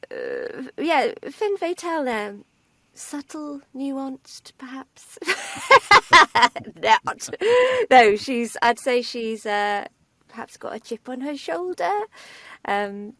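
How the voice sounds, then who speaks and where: A woman's voice: on the high side, big pitch swings, extremely slow, a bit bright, no room sound. A woman around forty chatting in an interview, hesitant and tongue-in-cheek. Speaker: actor being interviewed. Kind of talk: casual chat. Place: foam-lined vocal booth.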